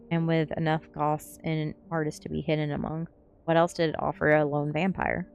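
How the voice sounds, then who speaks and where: Low-voiced woman reading a story. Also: darkish tone. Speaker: streamer reading a novel aloud. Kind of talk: narrated story reading, ASMR stream.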